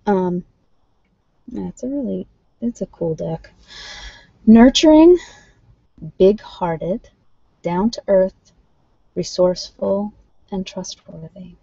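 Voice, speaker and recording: Woman with gravelly fry, casual and halting. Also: mid-range voice, mellow, dry recording. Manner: informal, halting, thinking aloud. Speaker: livestream host doing tarot card readings. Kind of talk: casual live chat with viewers, card reading. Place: at home, indoors. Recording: dull lo-fi livestream audio.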